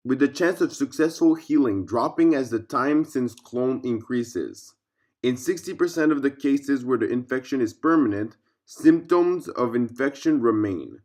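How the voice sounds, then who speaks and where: A man's voice: medium pitch, darkish tone. Man narrating a formal case file. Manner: formal, measured read-aloud delivery.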